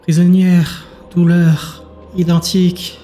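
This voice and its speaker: Man voicing a soft, halting, mournful female creature. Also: sits high, flat delivery, faint music behind, voice pushed up. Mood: sorrowful, pained. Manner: slow, halting single words. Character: gentle, suffering female creature speaking telepathically in halting words.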